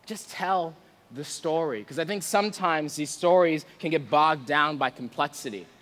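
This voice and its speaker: High-voiced male preacher in a reverberant hall. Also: normal speed, fairly bright tone. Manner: conversational, playful, informal. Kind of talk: sermon with casual humour and scripture reading. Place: large reverberant room before a live audience.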